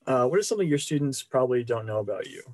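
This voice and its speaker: A man interviewing a professor, reading his questions briskly over a video call. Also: mid-range voice, animated, a little mellow.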